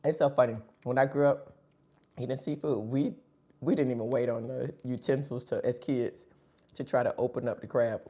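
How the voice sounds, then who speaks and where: Live-stream host, husky and dark-toned, sniffly, chatty eating banter. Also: medium pitch, fairly even intonation, moderate pace. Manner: chatty, talks between bites. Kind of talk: casual live-stream chat while eating.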